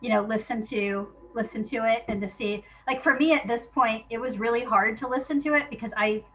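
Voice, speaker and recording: A woman speaking as an interview guest, a playwright chatting casually about her work and telling it in an animated way, acting out remembered exchanges. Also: on the high side, a little fast, rough recording.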